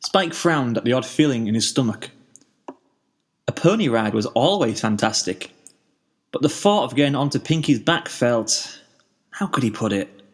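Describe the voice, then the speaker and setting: A man reading a story aloud as narrator, in an expressive scripted style. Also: mid-range voice, normal speed, pauses often, breathy edge. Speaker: fan fiction narrator.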